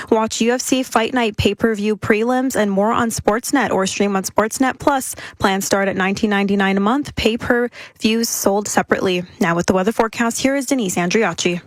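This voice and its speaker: A woman giving a brisk, matter-of-fact radio traffic report. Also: medium pitch, no echo, rough recording.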